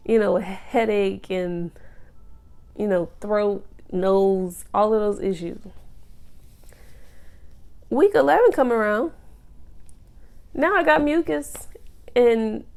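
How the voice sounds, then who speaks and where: A woman's voice: mid-range voice, vocal fry, a little mellow. A woman vlogging casually about her pregnancy, explaining things step by step in a chatty way, sounding a little nasal from a cold. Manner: chatty, explaining step by step, many ums and you-knows. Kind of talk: casual chat to camera.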